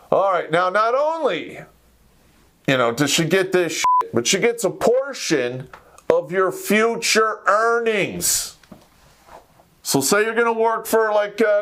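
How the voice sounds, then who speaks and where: A man's voice: a little high, fairly bright tone. An American man hosting a talk show, ranting in a blunt, profane, conversational way. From United States. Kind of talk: live opinionated rant to camera.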